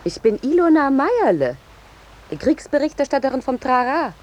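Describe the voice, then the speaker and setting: An actress playing a pushy, self-important female war correspondent in a 1950s German radio play, talking in a brisk, insistent manner. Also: high-pitched, big pitch swings, darkish tone. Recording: noisy 1950s radio drama recording.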